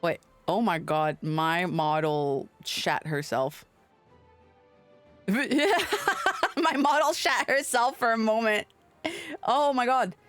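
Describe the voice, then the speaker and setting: A woman's voice: a little high, expressive, slow pace, fairly bright tone. A woman livestreaming a game, chatting irreverently with teasing and swearing. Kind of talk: livestream chat and reading game dialogue aloud. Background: game music.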